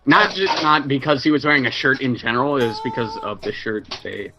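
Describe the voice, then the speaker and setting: A man's voice: medium pitch, a little fast, highs cut off. A man chatting casually as a player in a tabletop game, crude and joking. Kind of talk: casual chat. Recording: music behind the voice.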